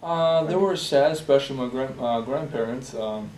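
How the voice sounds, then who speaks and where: Soft-voiced Bosnian-born man, halting, roomy echo. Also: medium pitch. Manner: halting, many fillers and restarts. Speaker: interviewee. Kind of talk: personal interview testimony. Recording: roomy echo, distant from the mic, faint hiss.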